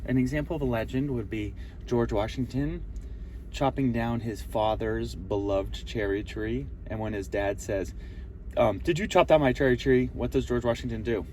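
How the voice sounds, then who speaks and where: A man's voice: medium pitch, normal speed. A man giving an informal lesson as a teacher, explaining in a didactic way and prompting with questions and key terms. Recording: distant mic, very loud outdoor traffic-like noise.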